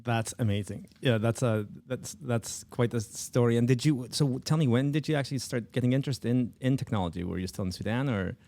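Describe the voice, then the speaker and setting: A man from Poland hosting an interview podcast, talking quickly with frequent restarts. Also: medium pitch, mellow. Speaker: podcast host.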